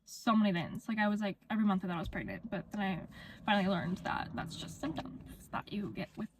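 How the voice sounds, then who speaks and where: A woman's voice: mid-range voice, quick pace, a little hiss or hum, rough recording. Young woman, casual vlogger, slightly stuffy from a cold, loose rambling delivery with lots of fillers. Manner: chatty, filler-heavy with frequent "like" and "um". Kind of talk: casual talking-to-camera vlog.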